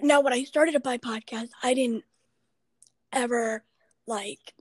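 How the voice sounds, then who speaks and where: A raspy, husky young woman with a high voice, chatting on her podcast. She rambles through her stories, full of fillers. The sound is dry and close. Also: steady tone, slow pace, no room sound. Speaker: podcast host. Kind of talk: casual chat.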